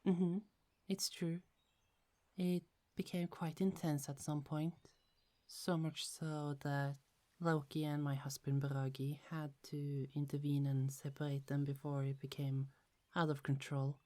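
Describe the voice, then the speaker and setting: A woman voicing a gentle, chatty young goddess in an ASMR roleplay, speaking softly with frequent little laughs. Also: sits low, level tone, a little slow. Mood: friendly. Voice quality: soft, half-whispered, giggly.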